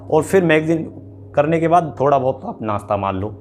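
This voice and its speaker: A man's voice: fairly high pitch, animated, a little fast, a little mellow, a little hiss or hum. A man giving an informal, explanatory exam-coaching lecture, often repeating points and checking in with students. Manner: explanatory, repetitive, with frequent check-in tags.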